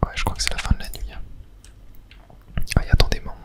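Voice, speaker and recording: Hushed whisper, casual gaming ASMR. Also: fast. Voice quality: whispering throughout. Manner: casual, thinking aloud. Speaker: gaming video host. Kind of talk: whispered ASMR game commentary.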